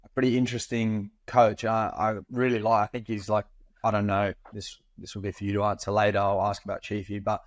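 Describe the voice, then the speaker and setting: A man's voice: lowish voice, little pitch movement, fairly quick, no echo. A man co-hosting a football podcast, speaking thoughtfully with hesitations. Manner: thoughtful, hesitant with many fillers. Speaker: podcast co-host. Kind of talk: casual podcast chat.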